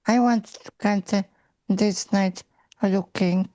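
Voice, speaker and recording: Slow woman with a Polish accent. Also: medium pitch, steady tone, warm tone, no echo, studio quality. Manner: slow, careful, simple phrasing. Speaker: player.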